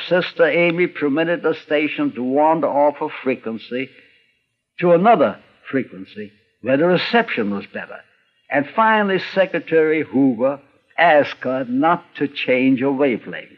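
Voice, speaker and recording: An older man, a radio critic, reminiscing as documentary narrator with animated storytelling. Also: a little high. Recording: dull archival radio documentary recording.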